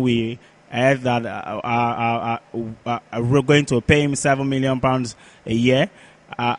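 A man co-hosting a sports talk show, running through scores and news in a halting way with repeated words. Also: mid-range voice. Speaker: co-host, sports pundit.

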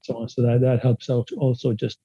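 A man's voice: mid-range voice, little pitch movement, brisk, warm tone, husky, lo-fi sound. A man whose first language is Spanish, raised in Sweden, answering interview questions in a hesitant way with many restarts and fillers. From Spanish-speaking country, raised in Sweden. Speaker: guest. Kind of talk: casual interview.

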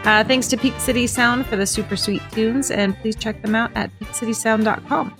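A woman's voice: on the high side, a bit bright. A woman from the US West Coast hosting a podcast interview in a friendly, upbeat way. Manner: friendly, upbeat, scripted intro. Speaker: podcast host.

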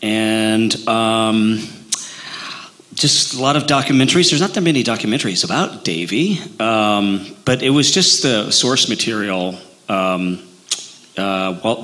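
Chatty Midwestern man with a bright voice. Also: fairly low pitch, unhurried. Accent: Midwestern American. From Illinois. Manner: chatty, rambling, many fillers and restarts. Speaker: actor playing Davy Crockett, interview guest. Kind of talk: casual interview talk.

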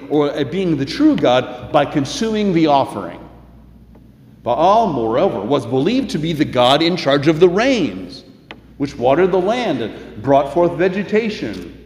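An older man preaching a sermon in measured tones, slowing down for emphasis, in a church sanctuary. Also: on the high side, darkish tone. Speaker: pastor. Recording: echoey hall, faint room noise.

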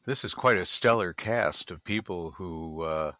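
A male podcast host introduces his guest and leads the interview. His fairly low voice has a bright edge and sounds close and dry. He listens attentively and keeps things moving with short questions and mm-hmms. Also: unhurried, no room sound.

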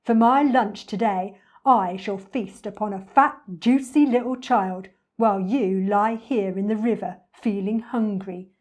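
A huge, greedy storybook crocodile, acted out by a woman, boastful and scheming. He gloats loudly and stretches his words slowly with wicked relish. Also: medium pitch, close to an everyday voice.

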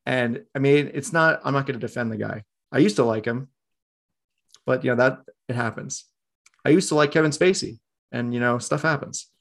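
A man hosts a casual podcast in a relaxed, conversational manner, often trailing off mid-thought. His mid-range voice has a gravelly, creaky edge. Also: medium pitch, normal speed. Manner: laid-back, conversational, trailing off. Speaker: podcast host.

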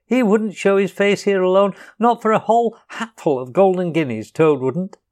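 A man's voice: high-pitched, normal speed, darkish tone, voice pushed up, lightly acted. A man voicing a brave, good-natured male water rat who talks heartily and reassuringly.

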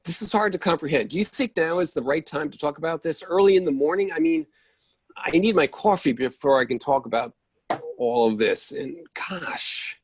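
A man reading an impatient, husky character. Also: fairly high pitch, animated. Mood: bickering. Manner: exasperated, quick to lose patience. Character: impatient man needing morning coffee. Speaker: reader in a readers theatre play. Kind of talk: staged play reading.

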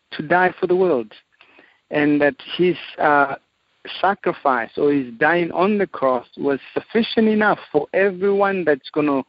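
A man from Zimbabwe, a missionary guest, telling his testimony in a slow, measured way with frequent pauses. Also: fairly high pitch, no echo. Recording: dull, dry audio.

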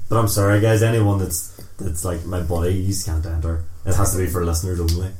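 Swearing, laughing podcast host. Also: male voice, sits low, steady tone, a little fast, darkish tone. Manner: swears freely, laughs often, jokey. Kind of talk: casual podcast banter. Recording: echoey room, faint noise.

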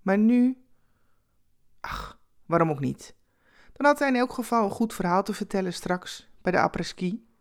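A woman narrating a scripted story expressively, with conversational asides and dramatic pauses. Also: medium pitch, sing-song.

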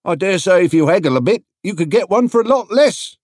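A man's voice: sits high, animated, fairly quick, darkish tone, no room sound, clean studio sound, pitched above the performer's own voice. A man voicing chatty male market traders in a storybook, folksy, emphatic and full of advice. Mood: helpful, haggling.

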